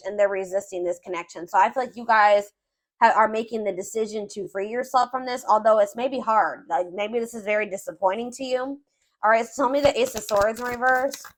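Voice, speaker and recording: A woman giving a casual, improvised tarot reading, thinking aloud and rephrasing herself as she goes. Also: a little high. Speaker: tarot reader.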